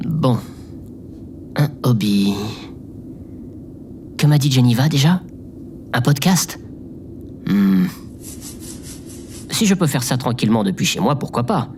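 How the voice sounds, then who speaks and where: A man's voice: mid-range voice, animated, takes it slowly, long pauses, darkish tone, slight background noise. A man playing a hesitant, self-doubting oddball in an audio drama, speaking with stiff politeness as if musing aloud.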